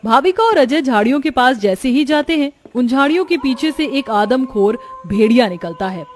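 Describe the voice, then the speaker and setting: Lady narrator for a kids story, high voice, scripted read. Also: animated, normal speed, faint music behind.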